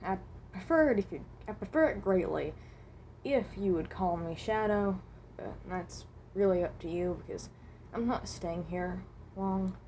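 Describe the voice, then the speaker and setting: A woman voicing a reluctant, resigned animatronic rabbit from another dimension in a fan roleplay, speaking haltingly and correcting herself. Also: mid-range voice, dark tone, slight background noise, lightly acted. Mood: resigned, wary. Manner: hesitant, halting, self-correcting.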